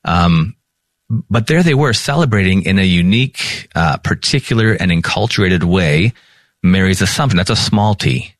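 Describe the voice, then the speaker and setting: A man with an American accent and a low-pitched voice, recorded dry. He teaches in an informal, explanatory way, drawing out and stressing the important terms like a theology instructor. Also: expressive, moderate pace, dry recording. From Minnesota, United States. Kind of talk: informal explanatory lesson with read-out passages.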